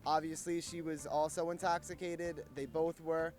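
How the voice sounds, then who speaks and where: A man's voice: a little high, level tone, moderate pace, darkish tone. A nineteen-year-old man giving blunt, firm opinions in a street interview. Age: young adult. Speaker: student interviewee. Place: outdoors on a college campus. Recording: faint music underneath.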